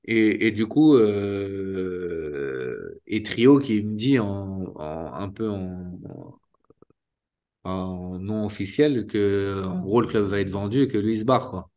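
A man in his thirties, a former footballer, talking candidly in an interview over a video call, restarting sentences and filling pauses often. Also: on the low side, a little mellow. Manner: spontaneous, halting, many restarts and fillers. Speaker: retired footballer guest. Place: small room indoors. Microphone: video call webcam.

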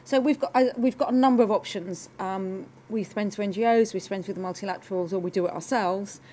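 A woman's voice: mid-range voice, a little fast, dark tone, a little hiss or hum. A middle-aged British woman giving formal panel remarks as a government development official. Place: conference room, indoors.

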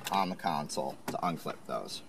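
A man calmly explaining, step by step, how to install a car part, recorded inside a car. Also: rumbling low, moderate pace, gravelly, background noise. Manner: calm, plain instructional. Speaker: installation instructor.

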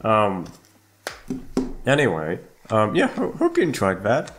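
A man's voice: on the low side, huge pitch swings, slow pace, background noise. A young man in casual unscripted chat to listeners, rambling and self-deprecating, with loose swearing. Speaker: audio roleplay voice actor.

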